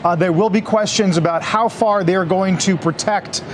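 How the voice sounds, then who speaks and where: A man's voice: sits high, moderate pace, slight background noise. An American man anchoring a live business news broadcast and talking with a reporter.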